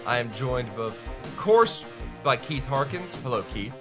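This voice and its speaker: A man's voice: mid-range voice, big pitch swings, unhurried, music in the background. A man hosting a podcast live stream in casual chat, with a stuffy, congested voice, rambling and laughing often. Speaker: podcast host.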